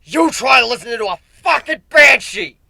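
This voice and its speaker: A man's voice: very high voice, animated, normal speed, gravelly, pushed and bright, no echo, voice pushed up, heavily acted. A man voicing an irritable, cursing male canine swordsman who barks in exasperation, in a story reading. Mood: furious. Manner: barking, exasperated.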